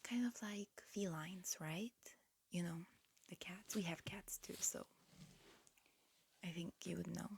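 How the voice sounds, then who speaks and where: Woman doing hushed asmr exam roleplay, lowish voice, really slow n careful, close mic w bit of hiss. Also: creaky. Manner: soft, hushed whispering with slow, careful explanations. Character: calm, attentive alien examiner disguised as a human. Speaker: ASMR roleplay performer playing an alien examiner. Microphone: close ASMR microphone.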